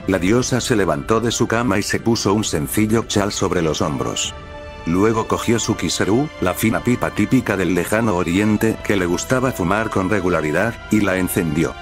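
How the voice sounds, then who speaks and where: Give me a man narrating a story in measured short phrases, voice on the low side, with faint music behind. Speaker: fanfiction narrator. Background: soft background music.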